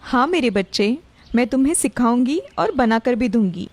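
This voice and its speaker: A woman's voice: fairly high pitch, lively intonation, moderate pace, a little mellow. A woman voicing a caring, supportive mother of a grown son in a story, affectionate and encouraging.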